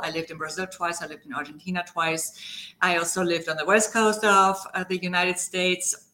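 A woman from Germany speaks with a clear German accent and a somewhat low, bright, forceful voice. She is middle-aged and tells her story at length as a podcast guest, with fillers and restarts along the way. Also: rough recording. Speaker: guest, leadership coach. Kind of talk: podcast interview.

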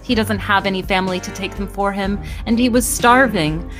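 A woman's voice: mid-range voice, moderate pace, close to an everyday voice. Woman playing a downbeat teenage girl over music. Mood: grim, resigned. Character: teenage girl from a poor district, fatalistic, caring. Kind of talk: audio drama dialogue.

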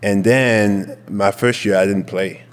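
A young man from Quebec whose first language is French, speaking English with a Quebec French accent as an interview guest, relaxed and candid with many fillers. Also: fairly low pitch, slow pace, long reverb tail. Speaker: guest, football player. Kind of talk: casual interview.

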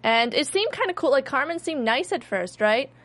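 A woman's voice: high-pitched, big pitch swings, moderate pace, fairly bright tone. A young woman casually recapping a TV episode with friends, rambling with lots of fillers and restarts.

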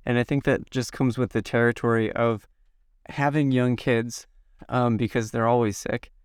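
A man from the Midwest in his thirties, slightly hoarse from a cold, answering interview questions to himself in a slow, halting, reflective way. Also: mid-range voice, steady tone, dry recording, studio quality. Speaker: podcast guest. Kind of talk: self-interview.

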